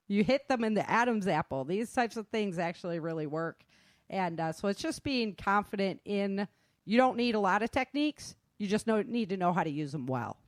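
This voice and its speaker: A woman's voice: mid-range voice, dry recording, clean studio sound. A middle-aged woman talking informally as a self-defense instructor, acting out remarks as she tells stories. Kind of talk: casual informal talk.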